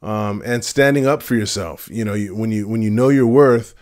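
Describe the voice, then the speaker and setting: Deep-voiced earnest man in his fifties. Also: a little mellow. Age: 50s. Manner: earnest, measured, with frequent fillers and restarts. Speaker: retirement vlogger. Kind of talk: casual advice talk to camera. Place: home room with shelves of vinyl records. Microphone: camera at close framing.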